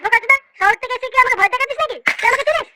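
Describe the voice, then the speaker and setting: A performer voicing a brash, foul-mouthed young village slacker in a comedy cartoon, with a squeaky pitched-up voice and crude, bickering delivery. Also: rapid-fire, very noisy. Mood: angry. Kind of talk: comic cartoon dialogue with slangy swearing.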